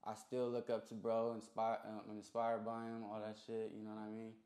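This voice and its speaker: A young man with a fairly low voice and a Los Angeles accent talks in a roomy studio. He tells his story casually, in heavy slang, like a guest chatting in an interview. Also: completely flat delivery, brisk, dark tone. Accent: American, Los Angeles. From Los Angeles, USA. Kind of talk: casual chat. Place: small music studio room. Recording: roomy indoor echo.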